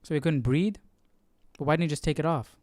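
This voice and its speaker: A man's voice: fairly high pitch, a little fast, a little mellow, no room sound, clean studio sound. A man hosting a casual live Bible study, musing and questioning as he thinks aloud. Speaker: host.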